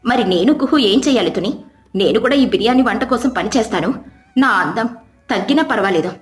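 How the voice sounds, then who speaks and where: A vain lady bird from a cartoon, acted by a woman, pitched moderately and speaking with earnest self-regard. The voice sits in an echoey track over faint music. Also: expressive, fast, lightly acted. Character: vain female bird, willing village helper.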